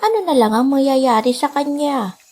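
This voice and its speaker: A woman's voice: high-pitched, moderate pace, dark tone, slight background noise, natural voice. A woman voicing a kind, loving old grandmother in a radio drama, sighing and worried. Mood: worried, weary. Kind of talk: dramatic radio play acting. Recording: music behind the voice.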